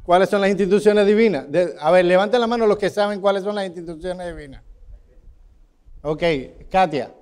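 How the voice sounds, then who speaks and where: A man's voice: high-pitched, darkish tone, smooth. Cuban man teaching a Bible class. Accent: Cuban Spanish. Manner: questions the class, calls on students by name. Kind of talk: interactive church Bible class.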